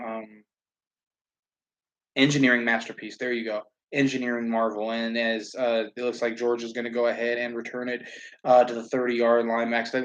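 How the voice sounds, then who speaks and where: A man at an ordinary middle pitch rambles his way through a casual live stream, padding his talk with fillers and the odd curse. Between tangents he turns to calling the plays himself. He is from Southern California. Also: a little hiss or hum, poor audio. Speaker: livestream host and play-by-play commentator.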